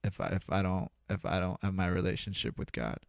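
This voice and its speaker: Male podcast guest, low creaky voice, earnest and halting, casual and unpolished delivery. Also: flat delivery, dry recording, studio quality. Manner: earnest, reflective, halting with repeated "you know". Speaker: interview guest, spoken-word poet. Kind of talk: casual, candid podcast conversation.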